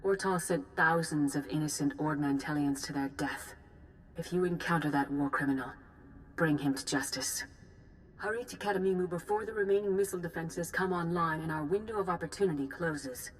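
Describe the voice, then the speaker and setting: An actress playing a calm, grave senior Jedi leader giving formal, measured orders in a video game. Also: female voice, deep voice, a little hiss or hum, close to an everyday voice, poor audio. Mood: grave, commanding. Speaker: commander giving a mission briefing. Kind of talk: video game cutscene acting.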